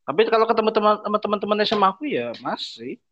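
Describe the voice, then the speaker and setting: A man's voice: high-pitched, sing-song, racing, a little hiss or hum. A young man, chatting casually as a podcast co-host with short, easygoing, teasing replies. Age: young adult. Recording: dull lo-fi podcast audio.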